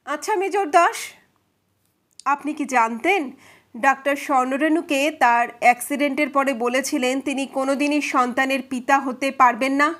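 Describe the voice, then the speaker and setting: A woman playing a calm male detective who questions politely and methodically in an audio story. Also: sits high, normal speed. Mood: probing.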